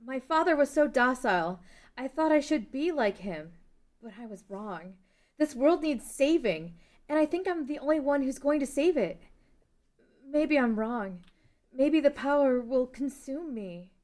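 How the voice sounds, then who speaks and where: A woman playing a grave, regretful young princess in an amateur fantasy table read, solemn and measured. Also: high-pitched, expressive, a little mellow. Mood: resolute.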